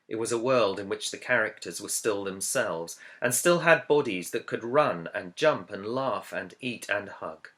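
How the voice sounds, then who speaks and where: A man, an author, reading his own book introduction as scripted narration in a measured, earnest, reflective way. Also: fairly low pitch, a bit bright. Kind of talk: scripted audiobook-style narration.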